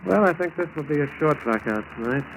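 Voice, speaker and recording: An actor playing an ordinary, chatty bar waiter who quietly pries, in a 1940s radio drama. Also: male voice, a little high, animated, quick pace. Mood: casually probing. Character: ordinary waterfront bar waiter, quietly nosy wartime spy. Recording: noisy old 1940s radio recording.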